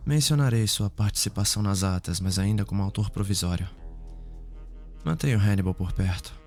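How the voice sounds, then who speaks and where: A man's voice: on the low side, brisk, a little mellow, close to an everyday voice. A man with a Brazilian accent playing a businesslike, formal forensic investigator weary of bureaucracy in an ASMR roleplay. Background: background music.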